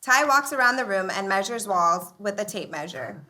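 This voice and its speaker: A woman narrates script directions. Her voice is bright and mid-pitched, in plain scripted delivery. The live recording carries faint noise. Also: expressive, moderate pace.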